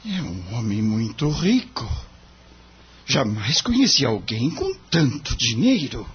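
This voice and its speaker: A man's voice: medium pitch, big pitch swings, slow, highs cut off, slight background noise. A man playing an earnest, courteous young lawyer in an audio drama, polite, formal and deferential. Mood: puzzled, insistent. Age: young adult.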